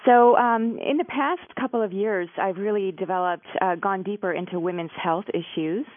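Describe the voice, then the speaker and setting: A woman's voice: medium pitch, normal speed, no echo. Lady expert calling in, phone-line sound, dry, fluent explainer. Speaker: guest expert. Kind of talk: interview answers.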